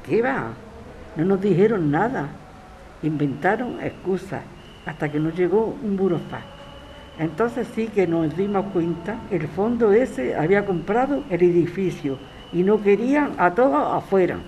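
A woman's voice: on the low side, expressive, measured pace, warm tone, poor audio. A woman playing a defiant grandmother who resists eviction, interviewed in a radio drama. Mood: troubled, indignant. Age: older. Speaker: interviewed tenant. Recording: clear ambient street noise.

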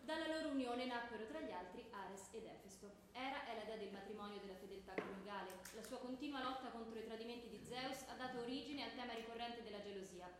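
A woman's voice: on the high side, fast, few pauses, natural voice. A woman playing a stern, didactic schoolmistress who quizzes and commands her pupils in a theatrical radio comedy. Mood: instructive. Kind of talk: theatrical radio comedy, classroom lecture delivery. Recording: echoey room with clear background noise.

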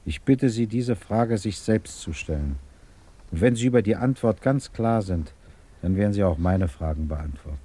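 Radio drama role: patient, morally earnest male inquisitor, deep and mellow with a rough grain, measured delivery. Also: animated. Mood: grave. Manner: measured, formal, deliberate. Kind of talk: German radio drama dialogue.

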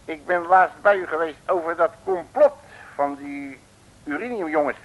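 An actor playing a cocky, boastful detective who talks in brash, bossy slang, in the style of a 1950s radio comedy serial. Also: male voice, a little high, very animated, normal speed. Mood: cocky, familiar. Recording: dull old radio recording.

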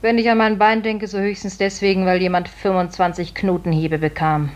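A woman's voice: medium pitch, flat delivery, brisk, lo-fi sound, lightly acted. An actress playing a compassionate, bitterly dry servant woman who reproaches, in a 1950s German radio play. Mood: reproachful. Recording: faintly noisy 1950s radio recording.

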